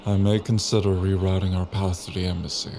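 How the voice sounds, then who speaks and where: An actor playing a suspicious East military captain, deliberate and authoritative in an audio drama. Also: male voice, deep voice, flat delivery, dark tone. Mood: probing, guarded. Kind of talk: cinematic audio drama acting. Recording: faint vehicle noise behind.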